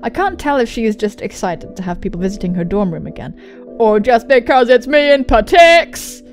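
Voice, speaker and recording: A young woman hosting a let's play, reading a visual novel aloud and commenting on it with excitable, sweary exclamations. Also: a little high, moderate pace. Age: young adult. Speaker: gaming video host. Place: indoor room at home, on webcam. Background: game soundtrack music.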